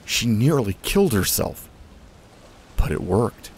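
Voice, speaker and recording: A man reads a story aloud in a measured, steady manner. His voice is very low with a gravelly fry, and clear background noise runs under it. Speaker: narrator. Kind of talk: scripted story narration. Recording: noisy recording.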